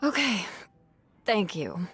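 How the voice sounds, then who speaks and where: A tipsy young knight woman, proud yet vulnerable. She speaks very slowly and haltingly in a medium-pitched, expressive voice, as in game dialogue, with faint music underneath. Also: heavily acted. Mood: touched, flustered. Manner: halting, sighing. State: drunk. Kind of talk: video game voice acting.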